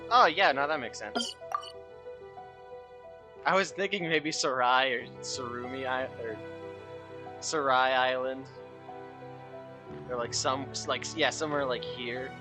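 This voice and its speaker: A man's voice: mid-range voice, slow pace, pauses often. A man giving casual gameplay commentary, thinking aloud in loose, musing fragments. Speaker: game streamer. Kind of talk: casual commentary while playing. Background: game music.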